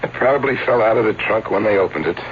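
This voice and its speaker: An actor playing a loyal, defensive escape-act associate in a 1970s radio drama, speaking in an earnest but evasive way. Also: male voice, fairly low pitch, fast talker, close to an everyday voice. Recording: muffled, faintly noisy old radio broadcast.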